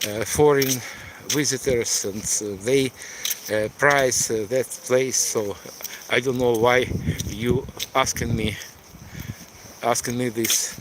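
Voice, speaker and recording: A middle-aged man from eastern Ukraine, a Russian speaker, answering viewer questions on a live stream in halting English. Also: medium pitch, fairly even intonation, noisy background. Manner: halting, searching for words in a second language. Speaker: tour guide and live stream host.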